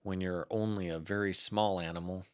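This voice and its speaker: A man's voice: low voice, fairly even intonation, normal speed, smooth, no echo, studio quality, voice pushed down, close to an everyday voice. A man voicing a small, timid, anxious storybook piglet with a nervous, fretful manner.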